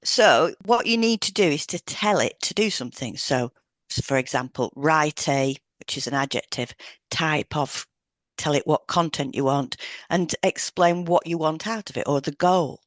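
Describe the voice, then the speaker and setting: A woman's voice: sits low, lively intonation, moderate pace, no room sound. A woman co-hosting a podcast, reading explanatory material in an instructional way with informal asides. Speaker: podcast co-host, hypnotherapist.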